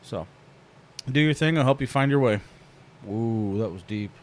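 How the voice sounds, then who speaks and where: A man's voice: medium pitch, lively intonation, moderate pace. A man hosting a podcast in a casual, joking way, swearing freely. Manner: laid-back, swears freely, jokey. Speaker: podcast host.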